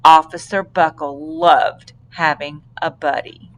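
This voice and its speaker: A woman narrating a children's storybook aloud with deliberate, dramatic phrasing. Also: sits low, fairly even intonation. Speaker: storybook reader.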